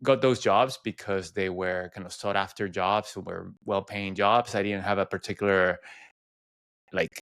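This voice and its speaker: Smooth, low-voiced man from Venezuela. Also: steady tone, unhurried, a bit bright, no room sound, clean studio sound. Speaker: podcast guest. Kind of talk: personal storytelling in an interview.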